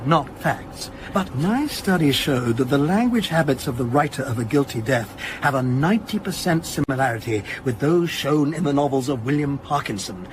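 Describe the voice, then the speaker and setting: An actor playing a precise, dryly pedantic academic language analyst in a radio drama, speaking in a measured, lecturing way. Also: male voice, medium pitch, slight background noise, natural voice. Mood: precise, confident.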